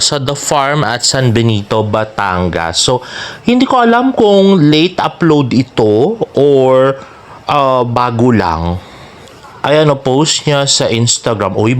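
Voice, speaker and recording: A man's voice: medium pitch, smooth. A man with a Filipino accent hosts a fan vlog, giving casual, teasing and jokey celebrity gossip commentary in mixed Tagalog and English. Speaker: celebrity fan vlogger and host.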